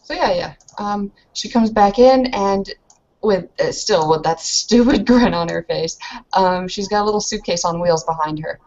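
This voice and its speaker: A woman's voice: medium pitch, normal speed, a little mellow, lo-fi sound. A woman playing a tabletop roleplaying game, casually narrating what her character does.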